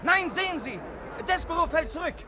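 A man playing an excitable racegoer in a German radio play, urgent and exclamatory. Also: very high-pitched, a little fast. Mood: excited. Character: excitable racegoer cheering on a horse. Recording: noisy outdoor racecourse crowd behind.

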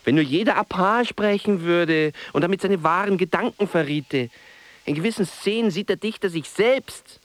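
An actor playing a brooding, self-mocking solitary writer in a musing radio drama monologue. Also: male voice, high-pitched, normal speed, dry recording, natural voice. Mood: reflective.

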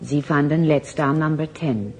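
A transhuman alien in a radio play, with a dark, low voice. The figure speaks solemnly and formally in stilted phrasing, over faint background noise. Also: female voice, a little slow. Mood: solemn, earnest. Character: calm, solemn transhuman alien emissary among humans. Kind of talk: German radio drama acting.

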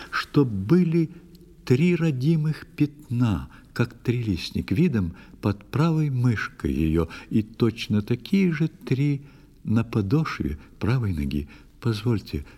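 A man's voice: medium pitch, darkish tone. A man doing a timid, humble old woman. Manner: hesitant, slow, deferential. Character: timid, humble, pious old fisherman's wife. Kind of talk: dramatic verse audio play acting. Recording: long hall-like reverb.

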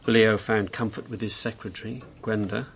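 An actor in a radio drama playing a bored, mischievous housebound husband, candid and needling in manner. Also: male voice, lowish voice, steady tone, normal speed, creaky, slight background noise. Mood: recounting.

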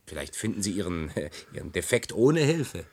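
A man's voice: low voice, very animated, normal speed, darkish tone, exaggerated voice. A man playing a cynical, defiant young subordinate in a German science fiction radio drama, sardonic and taunting. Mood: taunting, sceptical.

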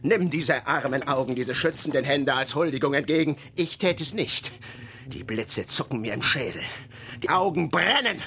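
An actor playing an obsessed, defiant old sea captain in a radio play, declaiming with fierce grandeur. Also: male voice, medium pitch, expressive, moderate pace, creaky. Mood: defiant awe. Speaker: ship captain. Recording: noisy radio play recording.

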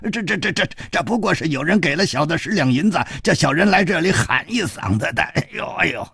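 A man voicing a cowardly male street hawker who cries out in a strained, high, wheedling voice. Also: expressive, fairly quick, creaky, sharp tone, slightly rough, no echo, studio quality, exaggerated voice. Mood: whining.

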